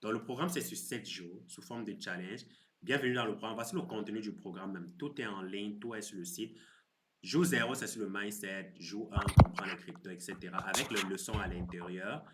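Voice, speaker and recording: A man in his thirties hosting a crypto live stream, chatting with viewers in a relaxed, teasing way and explaining his course. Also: on the low side, fairly quick, background noise. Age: 30s. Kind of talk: casual live stream chat.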